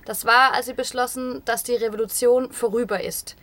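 A woman's voice: on the high side, steady tone, moderate pace, a bit bright, no room sound. A woman reading out translated interview answers as a radio voice-over.

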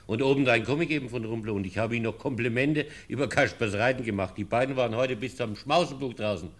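An actor playing a composed, courteous male baron with a measured, formal manner in a 1950s German radio play. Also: medium pitch, a bit bright, natural voice. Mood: matter-of-fact.

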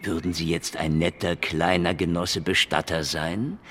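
An actor playing a cynical, menacing Soviet secret-police investigator in a radio crime drama, with sardonic, deadpan wisecracks. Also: male voice, sits low, measured pace, husky, exaggerated voice. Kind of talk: hardboiled radio crime drama, acting and narration.

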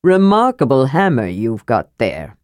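A woman voicing a mysterious ancient male dwarf in a fantasy audiobook, mild and knowing, with a strong, deep voice. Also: huge pitch swings, measured pace, a little mellow, dry recording, clean studio sound, heavily acted.

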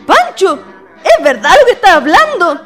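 A woman's voice: very high-pitched, very animated, normal speed, a bit bright, soft background music, full character voice. A woman playing a jilted, indignant young sweetheart with a Chilean accent, melodramatic and emotional in a radio drama. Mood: hurt, tearful.

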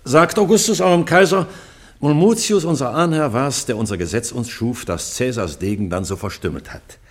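A man's voice: medium pitch, normal speed, close to an everyday voice. An actor playing a proud, defiant older British king, stately and courteous, in classical radio drama. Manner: stately, declamatory.

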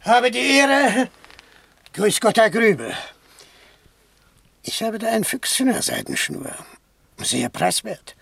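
A woman's voice: fairly high pitch, sing-song, slow, gravelly, long pauses, fairly bright tone, husky, no room sound, exaggerated voice. A woman playing an eager, servile small-town trader with insistent sales patter in a German radio play. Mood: eager, obsequious.

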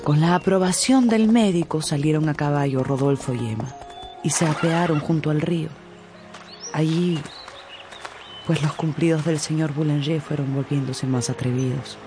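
A narrator telling a literary story in a measured, dramatic radio style. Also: mid-range voice. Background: music.

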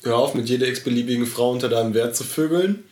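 A man's voice: mid-range voice, fast talker, darkish tone. A man giving a friend blunt, crude, laid-back advice in casual chat.

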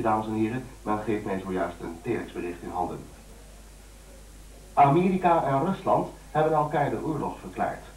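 An actor playing a grave, formal radio newsreader delivering an old-fashioned bulletin in a radio play. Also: male voice, on the low side, roomy sound, recorded from a distance, natural voice, rough recording.

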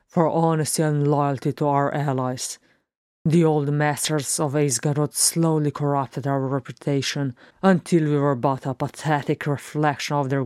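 A humorless storybook crown prince, read by a narrator, severe and sarcastic. He speaks flatly and slowly, with a dry, close sound. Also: medium pitch, no echo, natural voice.

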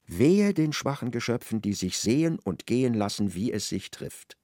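A man narrating a literary radio drama in a measured, precise, dry manner. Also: medium pitch, lively intonation, a little mellow, dry recording.

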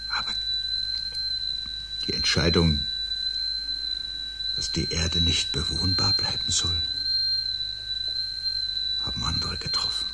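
Brooding, low-voiced man facing doom. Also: fairly even intonation. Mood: bitter, solemn. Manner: grave, deliberate, literate and reflective. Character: resigned, brooding man awaiting the end of the world. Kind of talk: 1970s German radio drama acting. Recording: 1970s radio recording with loud hum.

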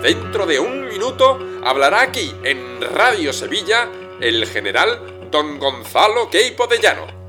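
An actor playing a formal radio announcer making ceremonious announcements. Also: male voice, sits high, very animated, measured pace, over loud music.

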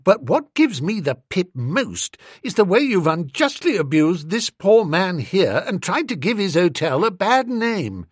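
Man acting a high-pitched, blustering young English toff. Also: big pitch swings, measured pace, hardly any pauses, slightly rough, no echo, clean studio sound, heavily acted. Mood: stern, indignant. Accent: British upper-class. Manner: slangy, blustering, emphatic. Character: indignant young upper-class Englishman, breezy, blustering, foppish.

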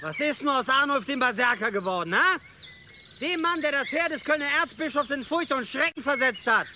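An actor in a German radio play, playing a hot-headed young medieval warrior, scornful and spoiling for combat. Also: male voice, very high-pitched, expressive, a little fast. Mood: defiant. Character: hot-headed, baby-faced young male medieval axe fighter. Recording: noisy outdoor ambience, birdsong.

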